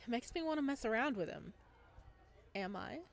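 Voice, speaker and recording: A woman's voice: a little high, lively intonation, normal speed, creaky. A woman gaming streamer with a giggly, playful manner, reading visual novel text aloud and commenting as she plays.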